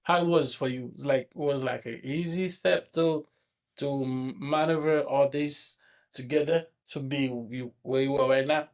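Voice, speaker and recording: A man's voice: medium pitch, fairly even intonation, unhurried. A man hosting a podcast who asks short, casual questions and drops in encouraging remarks. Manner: brief, encouraging interjections. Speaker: podcast host.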